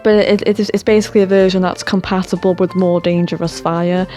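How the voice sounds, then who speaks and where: Chatty woman with a lowish, worn-out voice and some self-deprecating asides. Soft game music plays under her. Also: flat delivery, brisk. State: voice tiring, throat sore. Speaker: gaming channel host. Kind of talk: rambling casual commentary.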